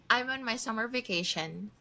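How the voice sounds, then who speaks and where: A woman's voice: fairly high pitch, vocal fry, pushed and bright, no echo. A woman in her early thirties from the Philippines who lives in Japan, co-hosting a casual chat with an old friend, laughing often and restarting sentences. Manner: laughs often, talks in quick bursts with restarts. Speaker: podcast co-host.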